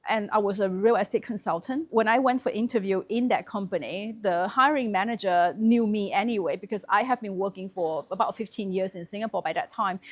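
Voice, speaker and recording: A woman in her forties, born in Malaysia with Chinese as her first language, talking frankly and casually to camera as a property and wealth vlogger. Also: mid-range voice. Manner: frank and conversational, thinking aloud.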